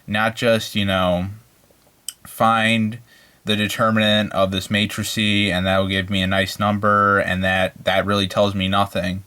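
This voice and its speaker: A man's voice: on the low side, flat delivery, measured pace, bright, forceful tone, smooth. A man giving an informal, unscripted introduction to an online maths course, rambling and hesitant, with plenty of filler phrases. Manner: rambling, hesitant, peppered with "you know" and "like". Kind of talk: informal, unscripted lecture talk.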